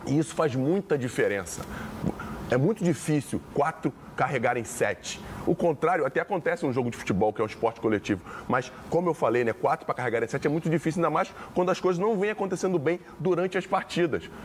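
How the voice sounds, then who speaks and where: Brazilian guy on a football show, talks it through slow and careful, faint hiss in the back. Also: fairly high pitch. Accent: Brazilian Portuguese. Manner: measured, explanatory, drawn-out words. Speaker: sports panelist. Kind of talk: casual football debate.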